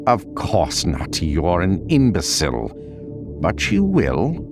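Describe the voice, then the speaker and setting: A man playing a cryptic, disdainful Count who delivers hard truths in a grave, measured, condescending way in an audio story. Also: low voice, wildly swooping pitch, music in the background, heavily acted. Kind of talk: dramatic audio fiction.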